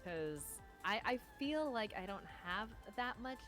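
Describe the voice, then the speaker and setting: A woman's voice: medium pitch, expressive, a little slow, fairly bright tone, faint music behind. A woman from California bantering playfully with a friend while gaming on stream. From California, USA. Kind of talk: casual chat while gaming.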